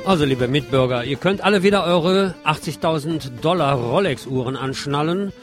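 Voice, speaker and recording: A man's voice: on the high side, normal speed. A man hosting a radio news segment, reading scripted reports and dropping in sardonic, informal asides. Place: radio programme. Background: guitar music.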